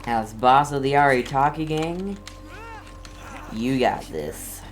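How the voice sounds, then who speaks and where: A streamer giving casual gameplay commentary in a hesitant, low-key way, talking back to the game's characters. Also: slow pace. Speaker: game streamer.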